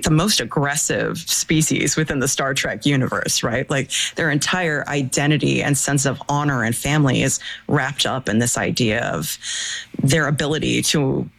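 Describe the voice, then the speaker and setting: A man's voice: medium pitch, gravelly, fairly bright tone. A man interviewing in a conversational, thoughtful way, laying out analytical points and checking them as questions.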